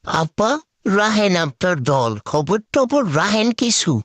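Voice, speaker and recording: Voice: mid-range voice, sing-song, takes it slowly, a bit bright, no room sound. An actor puts on a scruffy male crow sidekick from a comic cartoon, servile and forever aggrieved. The voice swoops widely as it pleads in rustic, colloquial talk. It is recorded dry and close.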